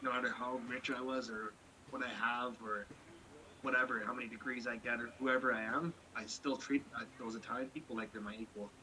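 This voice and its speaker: A man's voice: medium pitch, fairly even intonation, a little fast, sharp tone, poor audio. A man on a casual podcast talking bluntly and profanely in long rambling stretches. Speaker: podcast co-host. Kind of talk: casual chat.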